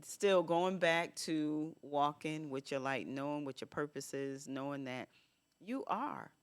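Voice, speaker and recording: A woman's voice: low voice, lively intonation, measured pace, clean tone. A woman in her fifties co-hosting a podcast, talking casually and stressing points by repeating them. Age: 50s. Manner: emphatic, repeats phrases for stress. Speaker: podcast co-host. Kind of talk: casual chat.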